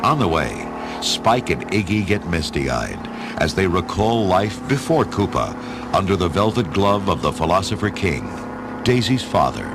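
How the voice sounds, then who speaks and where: A man's voice: low voice, sing-song, moderate pace, background noise. A man giving dramatic scripted narration as the narrator of a radio play. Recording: music behind the voice.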